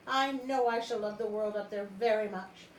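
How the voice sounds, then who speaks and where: A woman's voice: mid-range voice, steady tone, moderate pace, close to an everyday voice. A woman voicing a young storybook mermaid girl, wistful and earnest. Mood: longing. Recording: roomy echo, background noise.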